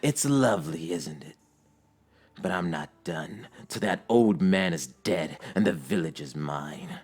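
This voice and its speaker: A man voicing a sinister, mocking villain who gloats softly and coolly in an anime-style story. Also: low-pitched, expressive, unhurried, husky, heavily acted. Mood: gloating. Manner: soft, taunting.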